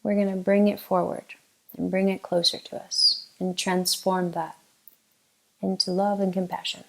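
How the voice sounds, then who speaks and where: A woman leading a guided meditation as a teacher, giving gentle, unhurried instructions with long pauses. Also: on the low side, flat delivery, creaky, poor audio.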